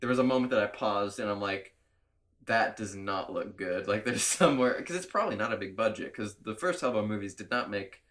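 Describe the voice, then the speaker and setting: A man's voice: lowish voice, a little fast, fairly bright tone. A man hosting a casual podcast chat, fighting a cold and sounding a little nasal, talking loosely and laughing often. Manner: chatty, laughs often, rambling. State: has a cold. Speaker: podcast host.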